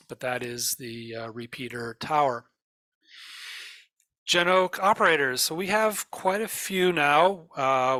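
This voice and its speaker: A man giving an informational slide presentation as a presenter, explaining things in a halting way with frequent pauses. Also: mid-range voice, bright, forceful tone, no echo. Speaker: presenter for an emergency radio network.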